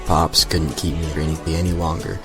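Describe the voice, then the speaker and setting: An actor playing a cocky, rebellious general's son of about twenty, talking loosely and irreverently in an audio drama. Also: male voice, extremely low pitch, little pitch movement, fairly quick, over music. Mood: defiant. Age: young adult.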